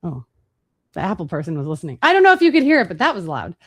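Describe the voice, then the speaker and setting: A woman hosting a marketing webinar, talking fast and casually with fillers and restarts. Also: lowish voice, huge pitch swings, husky. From Chicago, USA. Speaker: webinar host.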